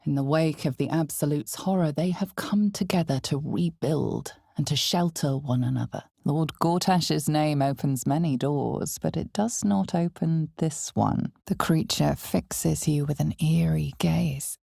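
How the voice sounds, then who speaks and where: A woman playing an ominous, knowing fantasy game narrator, with measured, theatrical delivery and a breathy, husky voice that often drops toward a whisper. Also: deep voice, dark tone, no room sound, clean studio sound, full character voice. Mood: grave, measured, then ominous, hushed. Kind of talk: dramatic second-person fantasy narration.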